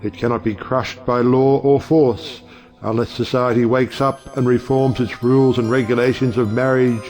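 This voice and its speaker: An actor playing a wry, provocative middle-aged judge and uncle in an audio play, speaking in a rhetorical, oratorical way. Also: male voice, medium pitch, steady tone, normal speed, a little mellow, close to an everyday voice. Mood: impassioned, scornful. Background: music.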